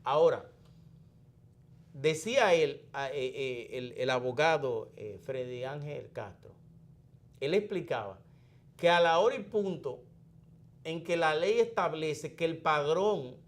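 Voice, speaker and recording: A man's voice: a little high, lively intonation. Dominican man giving political commentary. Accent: Dominican Spanish. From Dominican Republic. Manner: deliberate explanatory, frequent restarts and fillers.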